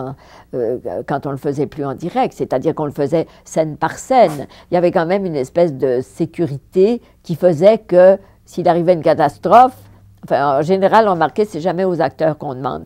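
Lively older French woman with a dark voice. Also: low-pitched. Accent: Parisian French. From France. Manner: animated, emphatic repetitions, frequent laughs. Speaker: actress interviewee. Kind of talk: reminiscing interview. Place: living room with fireplace, indoors.

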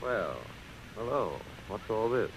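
An actor playing an evasive, weary society doctor in 1930s radio drama, measured and placating. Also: male voice, on the low side, animated, noisy background. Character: smooth, evasive male society doctor, weary of his lover.

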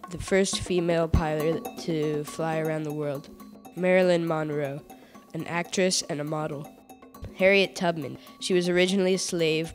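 A woman with a fairly low voice reads narration from a paper list in a steady, plain way. Faint music runs quietly underneath her. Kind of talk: read-aloud narration.

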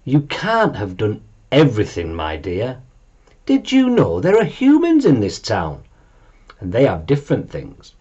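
A man's voice: medium pitch, huge pitch swings, unhurried, a little mellow, breathy edge, highs cut off, full character voice. A man voicing a patient but firm mother dragon in a children's storybook, sounding motherly and a little exasperated. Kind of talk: children's storybook character reading.

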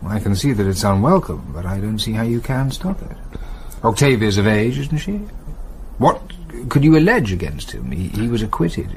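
An English actor in a radio drama playing a courteous, cautious gentleman lawyer who speaks in a measured, polite, slightly hesitant way. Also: fairly low pitch, sing-song. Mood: concerned, cautious.

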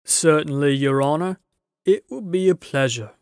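A man's voice: on the high side, moderate pace, darkish tone, clean tone, no echo, clean studio sound, lightly acted. A man voicing a big, polite, good-natured working-class giant in a children's storybook, with deferential, folksy, rustic speech. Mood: obliging, eager.

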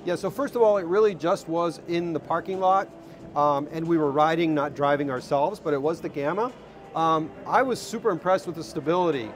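A man's voice: fairly high pitch, a little fast. A man in his fifties recounting a visit in an unscripted interview, speaking into a clip-on mic. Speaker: interview guest, electric car enthusiast. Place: large indoor car show hall. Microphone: clip-on wireless lapel. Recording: noisy exhibition hall ambience.

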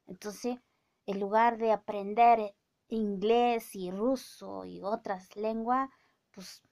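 A woman's voice: mid-range voice, fairly even intonation, darkish tone. A woman in her thirties, a native Mazatec speaker with a Mazatec-accented Spanish, scolding in a casual rant to a phone camera in a small room. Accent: Mazatec-accented Mexican Spanish. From Oaxaca, Mexico. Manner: indignant, scolding, drawn-out speech with many fillers. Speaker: vlogger. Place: small indoor room with bookshelves. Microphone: phone camera at arm's length.

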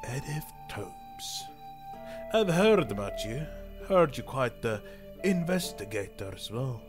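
A man's voice: mid-range voice, big pitch swings, takes it slowly, music in the background. Man acting officious, businesslike fantasy detective. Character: Detective Ashe, brisk, officious portly male investigator. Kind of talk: fantasy audio drama acting.

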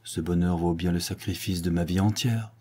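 A man voicing a proud, brooding young gentleman in a read-aloud novel, ironic in tone. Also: deep voice, steady tone, normal speed, slightly rough.

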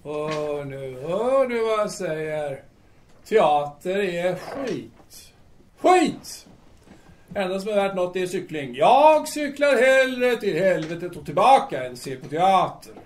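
An actor in an audio drama playing a boastful, cantankerous young absinthe-drinking poet with halting, repetitive, bombastic delivery. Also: male voice, high-pitched, sing-song. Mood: scornful. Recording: roomy, distant mic, faint noise.

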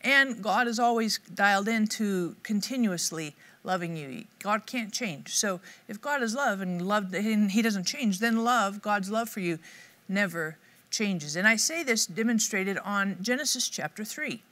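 A middle-aged woman speaking to camera with a bright, forward voice. Her delivery is upbeat and conversational. She teaches like a devotional host. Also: medium pitch, lively intonation, unhurried. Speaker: Bible teacher. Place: dark studio set, indoors.

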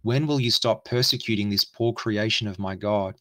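Male reader as righteous bishop, low pitch, solemn, exhorting, archaic storybook speech, dry recording. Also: moderate pace, fairly bright tone, no room sound, close to an everyday voice. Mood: righteous rebuke. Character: stern devout bishop saint, commanding and righteous.

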